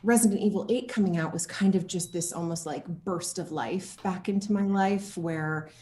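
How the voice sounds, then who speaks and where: A woman chatting casually as an interview guest, telling anecdotes in an animated, jokey way, with laughter close at hand. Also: fairly low pitch, normal speed, reverberant. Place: at home, indoor room.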